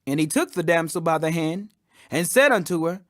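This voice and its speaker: A man's voice: a little high, animated, normal speed, no echo, clean studio sound. A man reading scripture aloud as a dramatic audiobook narration, with a solemn, emphatic delivery. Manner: solemn, emphatic, raising the voice for quoted speech. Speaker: scripture reader.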